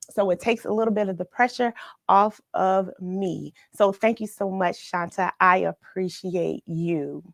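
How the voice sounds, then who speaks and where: A middle-aged American woman hosting a children's read-along livestream, chatting in an encouraging, unhurried way straight to her viewers. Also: mid-range voice, dry recording, clean studio sound. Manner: encouraging, unhurried, addresses listeners directly. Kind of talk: chatty livestream to viewers, greeting them by name.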